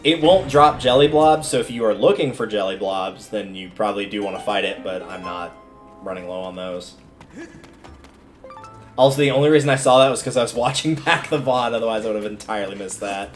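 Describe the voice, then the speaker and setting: A man with a fairly low voice talks casually while streaming a video game. He narrates his choices as he goes, conversationally thinking aloud. Game music plays behind him. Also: fairly quick. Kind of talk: casual gameplay commentary.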